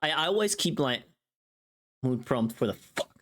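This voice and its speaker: Woman, deep for a female voice, highly expressive pitch, casual streamer chat, rambling, talking to herself. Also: moderate pace. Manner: rambling, muttering to self, repeats phrases; swears freely. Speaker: livestreamer.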